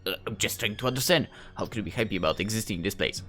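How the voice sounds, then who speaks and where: A man's voice: fairly low pitch, racing, bright, forceful tone, faint music behind, voice pushed down. A man voicing a timid, apologetic young male newcomer in a visual novel, meek and stammering. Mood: flustered, apologetic.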